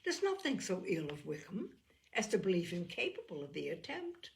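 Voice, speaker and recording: A woman voicing a sensible, gently probing middle-aged Regency aunt in a novel reading, measured and formal. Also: lowish voice, wildly swooping pitch, gravelly, exaggerated voice. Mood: reasoning.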